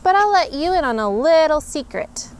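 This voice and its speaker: A woman, a mother, reading a picture book aloud to her children in an animated, expressive way with playful emphasis. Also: high voice, normal speed. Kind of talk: storybook read-aloud.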